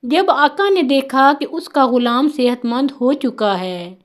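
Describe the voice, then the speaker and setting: High-pitched woman narrating a story. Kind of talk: scripted narration of a moral folk tale.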